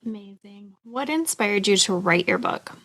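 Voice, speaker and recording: A woman's voice: mid-range voice, animated, normal speed, fairly bright tone. A woman hosting an interview, asking prepared questions in a polite, upbeat way. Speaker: interview host.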